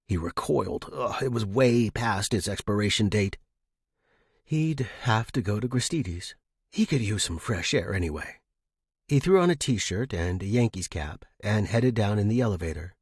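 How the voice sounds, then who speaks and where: A man reading pulp fiction as an expressive, dramatic scripted narration for a podcast. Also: lowish voice, slightly rough, no room sound, studio quality.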